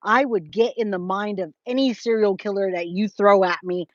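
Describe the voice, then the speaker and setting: A woman's voice: medium pitch, moderate pace, no echo. American lady in her 40s doing a casual livestream, chatty n emphatic, kinda muffled lo-fi sound but pretty dry. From California, United States. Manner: informal, addresses viewers as guys, babe, my love. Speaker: true crime livestream host. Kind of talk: casual livestream chat, replying to viewers' comments.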